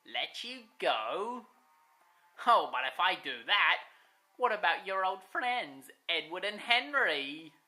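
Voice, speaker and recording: A woman voicing a menacing ghostly male steam engine villain who taunts in a slow, gloating drawl. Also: on the low side, big pitch swings, sharp tone, heavily acted. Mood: taunting.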